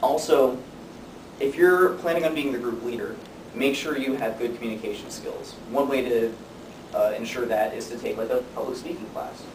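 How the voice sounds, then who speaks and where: A young man giving an informative student speech in a conversational way with deadpan humor. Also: moderate pace. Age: young adult. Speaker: student speaker. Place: classroom. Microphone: distant camera microphone. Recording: distant mic, echoey room, noisy rumble.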